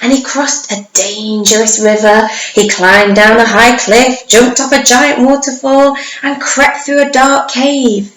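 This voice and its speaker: Slow, expressive woman reading a storybook. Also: a little high. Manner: measured, dramatic pauses and drawn-out words for young listeners. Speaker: primary school teacher reading a story. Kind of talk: expressive children's storybook read-aloud. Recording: echoey room, faint background noise.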